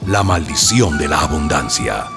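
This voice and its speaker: A man's voice: a bass voice, expressive, moderate pace, creaky. A man announcing radio drama titles in a dramatic style. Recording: loud music over the voice.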